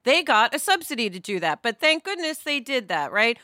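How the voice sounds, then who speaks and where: A woman's voice: on the high side, very animated, fairly quick, pushed and bright, no room sound, studio quality. A middle-aged American woman hosting a podcast discussion, speaking in an opinionated, emphatic way. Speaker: podcast host.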